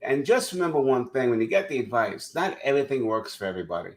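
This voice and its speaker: A man's voice: medium pitch, animated, quick pace. A man hosting an interview in an earnest, conversational way, often restarting his sentences as he makes a point. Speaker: interview host, speaker.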